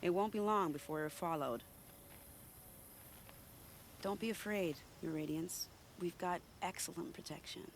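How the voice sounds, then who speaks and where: A woman's voice: on the low side, measured pace, long pauses, slight background noise. An actress playing a witty, sly woman spy in a video game, with an arch, teasing, flourishing delivery. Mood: teasing, wry. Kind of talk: video game cutscene acting. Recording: music behind the voice.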